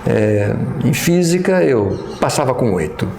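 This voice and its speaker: A middle-aged Brazilian man with a gravelly, creaky voice, reminiscing slowly in an interview. He hesitates and restarts often, padding his thoughts with fillers. The room is echoey, with faint noise behind him. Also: medium pitch, sing-song. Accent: Brazilian Portuguese. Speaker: pastor and professor interviewee.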